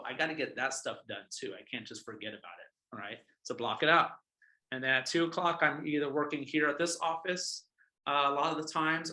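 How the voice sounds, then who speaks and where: Bright-voiced man giving an emphatic motivational talk. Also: medium pitch, low-quality recording. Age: 30s. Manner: emphatic, repeats points, often adds "all right?". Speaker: realtor coaching other realtors. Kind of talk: casual motivational coaching talk. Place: office.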